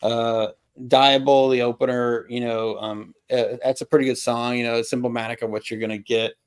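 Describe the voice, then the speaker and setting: A middle-aged man with a mid-pitched voice chats casually about music on a video call. He is opinionated and halting, often repeating words to drive a point home. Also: flat delivery, clean tone. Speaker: album review panelist. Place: home room with record shelves.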